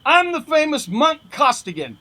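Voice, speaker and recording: A man's voice: very high-pitched, sing-song, moderate pace, sharp tone, voice pushed up, full character voice. A man reading a pulp humor story as a brash, wisecracking old-time boxing manager, punchy and declamatory. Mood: brash, bemused.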